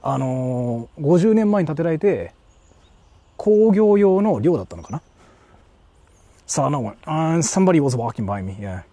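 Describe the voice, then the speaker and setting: Guy murmuring to his stream outside, husky, pitch all over the place. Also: big pitch swings, a little fast, long pauses, mellow, dry recording. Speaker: vlogger streaming while exploring. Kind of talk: casual livestream chat, answering viewers. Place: outdoors walking through an empty housing estate.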